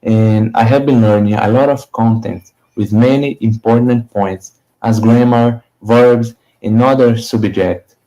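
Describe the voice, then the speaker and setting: A man with a Brazilian Portuguese accent giving a steady, read-aloud speech as a language student. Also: medium pitch, unhurried, low-quality recording. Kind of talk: prepared short speech.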